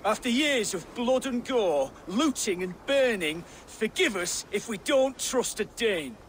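A man's voice: high voice, animated, measured pace, a bit bright, slight background noise. An actor playing a wary, embittered local man in a video game, speaking in a guarded, pointed way. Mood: suspicious, bitter. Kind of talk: video game character acting.

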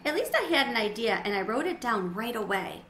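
Animated middle-aged woman reading a storybook, bright voice. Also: a little high, fairly quick. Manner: animated, dramatizing. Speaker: storybook reader. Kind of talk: expressive children's read-aloud. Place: small sunroom with windows, indoors. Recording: roomy echo, faint background noise.

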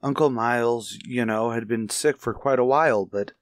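A man playing a troubled, apologetic family friend in a radio play, speaking haltingly. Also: medium pitch, no echo, clean studio sound, lightly acted. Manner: halting, hesitant.

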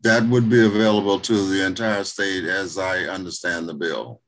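Deep-voiced man giving halting testimony. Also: a bit bright, poor audio. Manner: halting, many "uh" fillers and restarts. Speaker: state representative presenting a bill. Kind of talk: formal testimony at a hearing. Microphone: video call.

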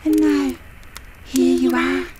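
A woman playing a dreamy, eerie female spirit in an audio horror drama, halting and wondering as she talks. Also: sits high, flat delivery, noisy background.

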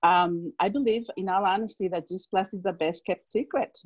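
A woman's voice: medium pitch, moderate pace, slightly rough. Bubbly Mexican-accented woman. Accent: Mexican Spanish. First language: Spanish. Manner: enthusiastic, laughs often. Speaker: direct sales executive. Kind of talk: video call interview. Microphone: earphone mic on video call.

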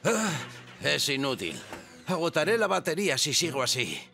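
Wildly expressive, bumbling cartoon bus driver. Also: male voice, a little high, normal speed, gravelly, soft background music, heavily acted. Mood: resigned. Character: timid but well-meaning village bus driver. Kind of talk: animated children's series dubbing.

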